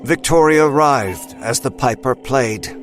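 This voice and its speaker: A man's voice: medium pitch, slow. A man giving scripted narration for a horror story. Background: music.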